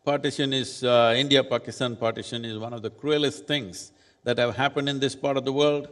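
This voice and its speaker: A man in his sixties, seated on stage at a summit, answering questions in a measured, deliberate and firm way. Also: mid-range voice, fairly even intonation, a bit bright. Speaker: spiritual leader speaking as summit panelist. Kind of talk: onstage panel answer. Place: large conference hall stage. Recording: reverberant conference hall.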